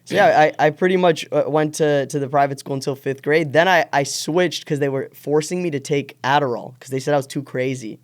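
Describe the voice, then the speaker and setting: A man in his twenties with an American accent, a startup founder speaking as a guest in a studio interview. He talks eagerly, with lots of "like" and quick restarts. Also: on the high side. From born in Israel, raised in Miami area. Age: 20s. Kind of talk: casual interview. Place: podcast studio.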